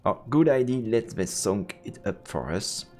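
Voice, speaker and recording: A man's voice: on the low side, big pitch swings, normal speed, a little mellow, roomy sound, long reverb tail, soft background music. A man streaming a game, switching between let's play commentary and reading the story text aloud.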